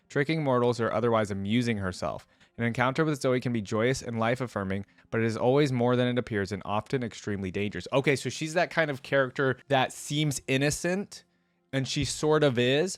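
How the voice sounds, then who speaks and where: Man, reaction host, slightly higher-pitched middle-range voice, casual commentary, reading aloud, dry close recording. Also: no echo.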